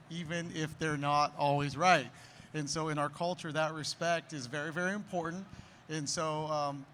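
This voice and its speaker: Earnest pastor, slightly high voice. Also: male voice, a bit bright, slight background noise. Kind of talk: panel answer.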